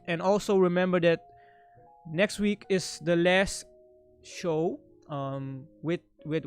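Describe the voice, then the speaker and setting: The man who closes a small online faith program, feeling for his words in a smooth, lightly high voice over soft music. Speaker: show host. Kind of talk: unscripted show sign-off.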